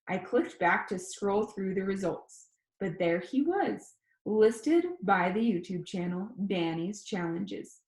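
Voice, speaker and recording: A woman reading a first-person novel aloud as an audiobook, with expressive storytelling delivery. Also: medium pitch, roomy sound. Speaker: audiobook reader.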